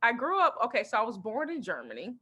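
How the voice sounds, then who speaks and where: A woman stand-up comedian from Texas, chatting in an interview and telling animated stories about her family while acting out quotes and laughing often. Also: a little high, quick pace, husky, dull audio. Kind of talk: casual interview chat.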